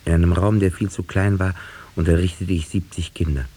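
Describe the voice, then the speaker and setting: A man's voice: low-pitched, fairly even intonation, a little fast, mellow, husky. A man playing a wry, sceptical village schoolteacher who tells the tale in a 1950s radio play, reflective and dryly ironic. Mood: wry, storytelling.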